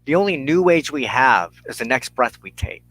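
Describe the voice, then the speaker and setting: A man preaching an impassioned monologue as a spiritual show's host, emphatic and deliberate, with dramatic pauses and repeated phrases. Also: medium pitch, sing-song, fairly bright tone, dry recording, rough recording.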